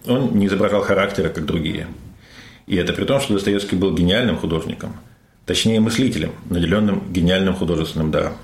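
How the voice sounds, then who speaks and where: A man, an author, reading from his own book in a slow, emphatic, solemn way, recorded in a museum. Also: lowish voice. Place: museum room. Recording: echoey museum room.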